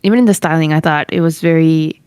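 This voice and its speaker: Smooth, low-voiced woman on a dry mic. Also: fairly even intonation, fast, a little mellow, no echo. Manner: hesitant, searching for words. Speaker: podcast co-host. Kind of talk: casual chat.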